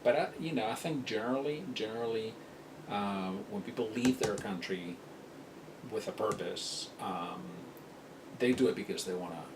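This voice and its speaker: A middle-aged man from Spain speaking reflectively in a documentary interview, with a hesitant, halting delivery. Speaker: interviewee, university employee. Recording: noisy, rumbling, distant mic.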